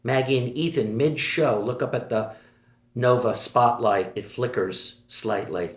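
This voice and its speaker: A man reading stage directions aloud in a measured, steady way at a screenplay table read. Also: mid-range voice. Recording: echoey room.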